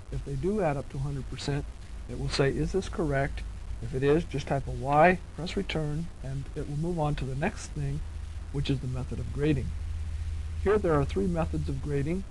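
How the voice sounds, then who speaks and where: Male instructional narrator, slightly high voice, methodical step-by-step delivery, faintly noisy vintage 1970s cassette sound. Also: a little mellow.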